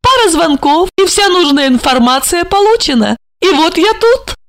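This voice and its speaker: A high, swooping voice for a coquettish young rich woman, put on by a female reader, teasing and sing-song. The lines leap up well above the reader's own pitch and sound dry and close. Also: big pitch swings, moderate pace, dry recording, heavily acted. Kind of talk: audiobook character reading.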